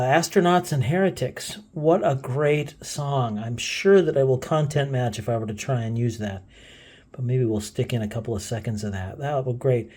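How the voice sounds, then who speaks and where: A man's voice: mid-range voice, a little mellow. A man hosting a casual, unscripted gadget demo, hesitating and thinking aloud as he fiddles. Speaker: gadget video host.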